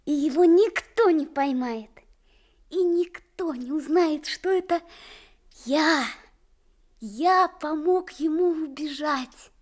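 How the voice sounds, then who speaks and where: Unhurried, high-voiced little boy. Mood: excited, secretive. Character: kind-hearted, determined little boy, admiral's son. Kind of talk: Soviet radio drama acting.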